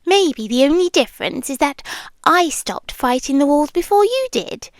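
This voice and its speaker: A British woman playing a possessive yandere girlfriend in an ASMR roleplay, speaking softly and often near a whisper, with deliberate pauses and a tender, quietly menacing manner. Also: high voice, sing-song, dry recording, clean studio sound. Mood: teasing, possessive. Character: possessive yandere girlfriend, unseen voice in listener's head. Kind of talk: ASMR roleplay monologue, intimate and slow. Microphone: close mic, dry.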